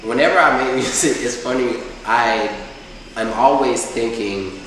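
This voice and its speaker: Casting: young rapper guest, rambling and laid-back, far from the mic in a roomy, reverberant space with background noise. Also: male voice, mid-range voice, measured pace, fairly bright tone. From Atlanta, USA. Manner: loose, rambling, heavy use of filler phrases. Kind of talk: casual interview chat. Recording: echoey room, far mic, noisy.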